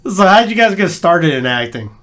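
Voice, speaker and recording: A man's voice: high-pitched, very animated, normal speed, a bit bright. A man hosting a podcast, jokey and given to sudden comic outbursts. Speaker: podcast host. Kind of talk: casual podcast chat.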